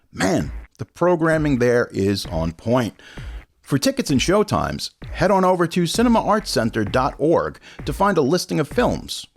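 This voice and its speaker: A man reading scripted promotional spots as a podcast host. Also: on the high side.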